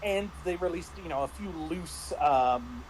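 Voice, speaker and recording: A man in a casual review discussion, talking haltingly with repeated words and false starts. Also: fairly high pitch, lively intonation, slight background noise, poor audio. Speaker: panelist reviewing a tabletop roleplaying game.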